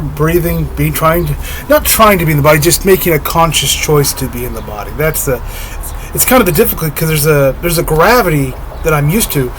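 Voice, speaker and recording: A man talking slowly and dreamily to the camera, giggling softly and trailing off, his voice slightly hoarse. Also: a little high, noisy background. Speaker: spiritual vlogger. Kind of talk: reflective solo monologue.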